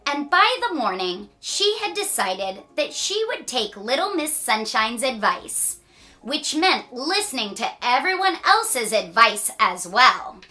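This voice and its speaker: A woman reading a children's story aloud with playful asides and dramatic, drawn-out emphasis. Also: a little high, very animated, bright, forceful tone, faint music behind. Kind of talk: animated read-aloud storytelling.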